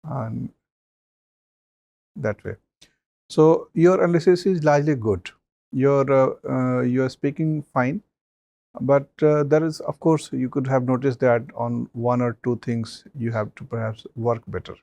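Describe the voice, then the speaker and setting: Gravelly guy giving interview feedback, measured, lots of uh. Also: medium pitch, steady tone, mellow, no room sound. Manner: advising, measured, many fillers. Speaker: mock interview panelist.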